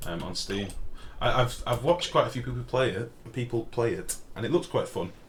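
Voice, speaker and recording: A man with a deep, booming voice giving casual, sweary live game commentary as a streamer and chatting with viewers. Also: animated, moderate pace. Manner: informal, sweary, reads and answers chat. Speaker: game livestreamer. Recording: clear background noise.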